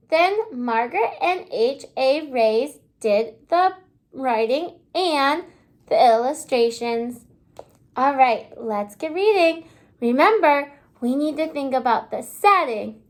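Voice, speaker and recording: Kindergarten teacher lady reading a kids story, high lively voice, takes it slow and asks questions. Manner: expressive, prompting listeners with questions and pauses. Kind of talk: children's read-aloud lesson with questions.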